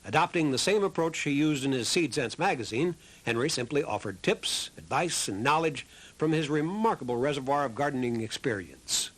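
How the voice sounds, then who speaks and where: A man's voice: medium pitch, animated, normal speed, a bit bright, poor audio. A man reading scripted narration for a historical documentary in the role of narrator.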